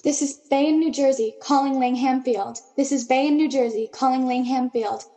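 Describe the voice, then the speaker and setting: A woman's voice: high voice, fairly even intonation, normal speed, dark tone. A woman playing a businesslike military radio operator in a radio drama, reporting formally. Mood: businesslike, urgent.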